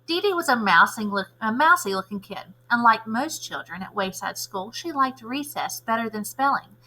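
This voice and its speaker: Teacher read-aloud: woman, fairly high bright voice, children's storybook narration. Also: lively intonation, moderate pace, rough recording.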